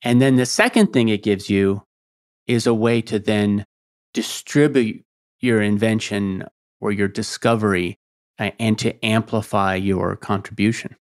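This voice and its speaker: A man's voice: on the low side, a little slow. A man hosting a podcast, asking probing questions in a conversational interview. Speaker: podcast host.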